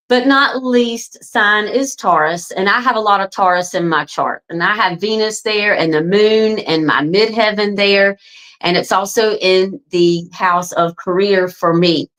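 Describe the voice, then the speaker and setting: A woman's voice: medium pitch, fairly bright tone, low-quality recording. Forties woman, wellness coach type, earnest and chatty, addresses folks directly, filler-heavy. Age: 40s. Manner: frequent ums and "right?" tags. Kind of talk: casual direct-to-camera promo talk.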